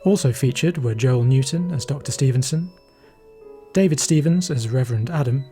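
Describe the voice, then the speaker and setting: Mid-pitched man announcing over strings. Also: normal speed, mellow. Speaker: credits announcer. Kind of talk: scripted announcement. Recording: string music behind the voice.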